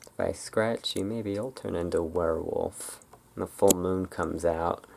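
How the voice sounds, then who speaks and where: Low, creaky ASMR host of unclear sex. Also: a little mellow, slight background noise. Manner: rambling, halting, informal. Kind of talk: ASMR, soft casual roleplay chat.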